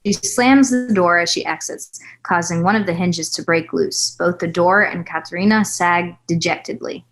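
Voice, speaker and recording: Woman narrator, slightly low voice, composed scripted storytelling, reading screenplay stage directions. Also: moderate pace, rough recording.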